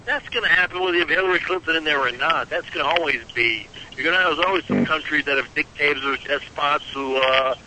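Over noticeably noisy radio audio, a high-voiced man debates politics as a panel guest. He sounds defensive and argumentative, often repeating his points. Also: fast, few pauses.

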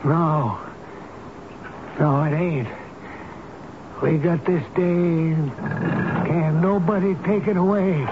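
A man's voice: fairly high pitch, slow pace, pauses often. A man playing a stubborn, devoted old elevator operator in a radio drama, with a folksy, plain-spoken manner. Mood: defiant, upset. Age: older. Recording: noisy, muffled old radio broadcast recording.

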